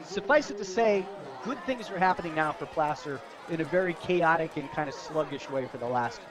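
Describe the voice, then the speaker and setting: A fairly high-voiced American man adds color commentary to a football broadcast. He talks in a chatty, folksy, conversational way. The noise of a big outdoor crowd is clearly audible behind him. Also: expressive, fairly quick. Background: stadium crowd.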